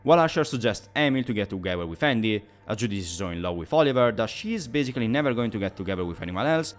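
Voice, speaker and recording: A man's voice: fairly low pitch, animated, rapid-fire. A man giving a scripted video essay review as commentary, in a wordy, analytical way with emphatic phrasing.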